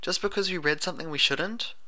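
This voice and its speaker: Man putting on a high, timid female pony. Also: fairly quick, sharp tone. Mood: panicked. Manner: timid, anxious. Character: timid, anxious young female pegasus pony. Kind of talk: audiobook character voice.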